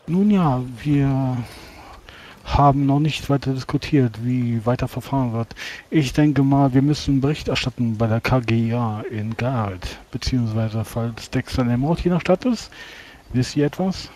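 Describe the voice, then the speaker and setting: A man's voice: medium pitch, moderate pace, darkish tone, rough recording. A man playing a tabletop roleplaying game, speaking in character with hesitant, formal wording.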